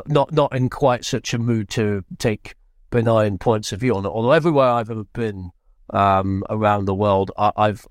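A British male correspondent talks through his analysis in an interview. His voice sits fairly low and is recorded dry and close. He speaks haltingly, restarting phrases as he goes. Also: no room sound. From Britain. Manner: halting, hesitant, measured with frequent restarts. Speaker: foreign correspondent, interview guest. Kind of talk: unscripted analysis in podcast interview.

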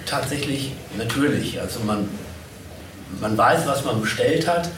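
A man's voice: mid-range voice, unhurried. A man hosting a live panel interview, speaking in wordy, reflective phrases. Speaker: podcast host and interviewer. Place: echoey convention room. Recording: echoey room, distant, noisy.

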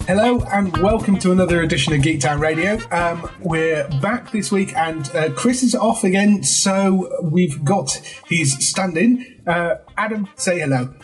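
A man hosts a relaxed podcast chat, his voice sitting a little high for a man. He talks haltingly, full of ums and quick laughs. Faint music plays underneath. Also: low-quality recording. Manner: hesitant, many ums and laughs. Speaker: podcast host. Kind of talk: casual chat.

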